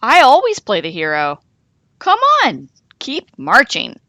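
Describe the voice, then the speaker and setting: Children's story lead: boastful, stubborn goose woman performer, very animated pitch, bold and insistent. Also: a little slow, no room sound, heavily acted. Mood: stubborn, bossy.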